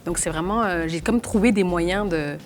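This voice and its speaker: A young adult woman from Belgium, talking fast with many restarts in a documentary interview. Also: on the low side. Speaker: actress and playwright.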